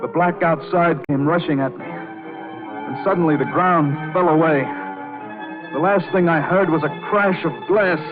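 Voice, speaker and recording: A man's voice: fairly high pitch, measured pace, close to an everyday voice. Man acting tense noir confessor on old radio. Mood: tense, urgent. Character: anxious, self-justifying piano player caught in a killing. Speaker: narrator and lead character. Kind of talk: old-time radio crime drama acting, first-person narration. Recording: muffled vintage radio recording with music.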